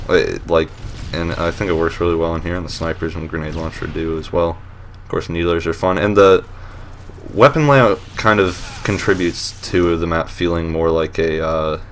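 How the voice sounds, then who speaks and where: A man with a deep voice hosting a casual voice-over commentary on gameplay, relaxed and rambling with lots of fillers and restarts, and a dry, self-mocking humor. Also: a little hiss or hum. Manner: dry, joking self-mockery. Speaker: host of a map showcase series.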